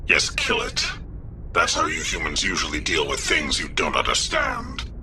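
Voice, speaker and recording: A man's voice: rumbling low, huge pitch swings, moderate pace, exaggerated voice. A man playing a scornful, sarcastic alien councilor in a video game, over background noise. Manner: sneering, sarcastic. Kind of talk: video game dialogue acting.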